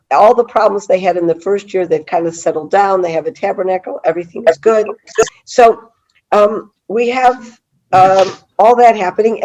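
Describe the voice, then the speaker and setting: A middle-aged woman with a cold-hoarse voice teaching a Bible study over a video call, chatty and full of fillers and restarts. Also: mid-range voice, darkish tone, poor audio. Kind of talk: online Bible class lecture.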